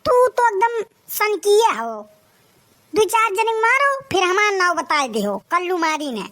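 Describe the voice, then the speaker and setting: Woman voicing a shrill, boastful young village gangster. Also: animated, measured pace, a bit bright, full character voice. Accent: Awadhi. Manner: bragging, pushy. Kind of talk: comic cartoon dialogue.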